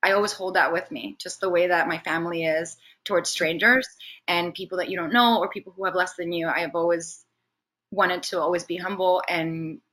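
A woman from Venezuela with Spanish as her native language, talking casually and expressively as an interview guest. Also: on the low side. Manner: chatty, expressive, quick to laugh. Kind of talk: casual conversational interview, personal stories.

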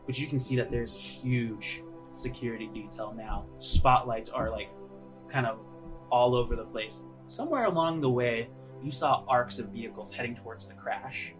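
A man working as game master, narrating scenes in a casual, halting, conversational way. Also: mid-range voice. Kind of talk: tabletop roleplay narration. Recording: music under the voice.

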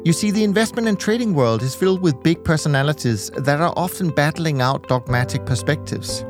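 A man giving a formal scripted introduction to a podcast series. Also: medium pitch. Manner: measured, formal delivery. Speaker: series narrator. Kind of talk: scripted narration. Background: guitar music.

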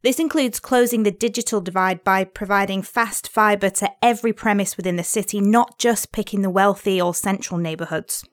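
A woman's voice: medium pitch, moderate pace, few pauses, no echo. Woman reading quotes in a dry recording. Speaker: reader of quotes. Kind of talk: scripted reading of interview quotes.

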